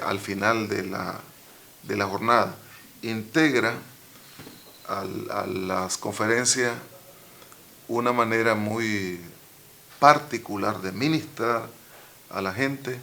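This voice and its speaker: A man hosting a church radio program and introducing his guest in a studio, talking slowly with many pauses and restarts. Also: lowish voice, vocal fry, sharp tone, a little hiss or hum. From Nicaragua. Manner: slow, halting, many fillers and restarts. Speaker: radio program host, pastor. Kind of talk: interview introduction.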